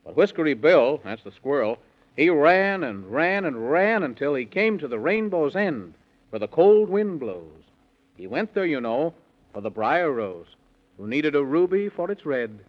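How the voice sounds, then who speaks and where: An actor playing an excitable storyteller who tells a children's bedtime tale in theatrical singsong rhyme on 1930s radio. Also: male voice, fairly high pitch, measured pace. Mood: excitable storytelling. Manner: theatrical, singsong rhyming delivery. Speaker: rhyming narrator. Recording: muffled old 1930s radio, music behind.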